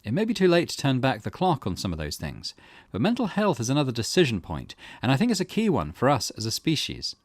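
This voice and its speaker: A man's voice: mid-range voice, wildly swooping pitch, fast talker, dry recording. A man hosting a let's play, reading game text aloud and commenting on it in a thoughtful, musing way.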